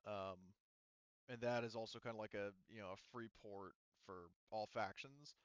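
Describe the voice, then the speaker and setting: Low, smooth-voiced man as game master. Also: fairly even intonation, a little slow, dry recording, highs cut off. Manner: rambling, thinking aloud, casually profane. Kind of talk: casual tabletop roleplaying game narration.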